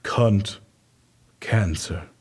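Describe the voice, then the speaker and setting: A man playing a grave doctor in a comedy sketch, speaking in a solemn, deliberate, deadpan way. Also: fairly low pitch, breathy edge, heavily acted. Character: grave male doctor delivering absurd bad news. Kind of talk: comedy audio sketch acting.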